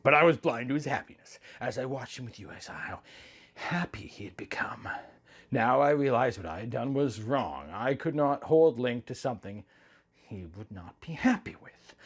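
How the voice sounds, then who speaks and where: A man voicing a remorseful female water sage from a storybook, solemn and hushed. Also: mid-range voice, sing-song, normal speed, slightly rough. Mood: regretful, resigned.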